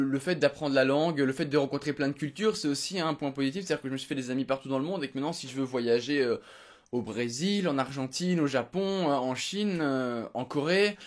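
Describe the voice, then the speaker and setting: Young belgian guy talking fast to camera at home, lots of euh and restarts. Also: mid-range voice. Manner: rambling, many fillers and restarts. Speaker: vlogger. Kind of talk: unedited casual recap to camera. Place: home room.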